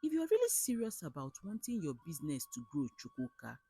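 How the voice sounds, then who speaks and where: A woman's voice: low-pitched, wildly swooping pitch, fairly quick, mellow, slightly rough, soft background music, heavily acted. A woman with a Nigerian accent voicing a sly, worldly male friend in a folktale, insistent and knowing as he persuades. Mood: urging. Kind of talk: storybook folktale character.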